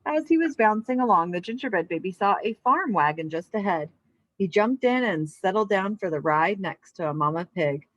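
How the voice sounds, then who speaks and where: A woman's voice: mid-range voice, animated, moderate pace, no room sound, poor audio. Woman teacher addressing young children, dry sound. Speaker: elementary physical education teacher. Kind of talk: children's lesson and storybook read-aloud.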